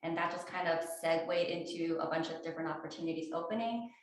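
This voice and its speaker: A woman's voice: fairly low pitch, quick pace. Matter-of-fact woman detective, echoey room. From New Jersey, US. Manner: matter-of-fact, plain-spoken, with fillers. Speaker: detective, interview guest. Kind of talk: casual interview answers. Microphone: small clip-on mic shared between speakers.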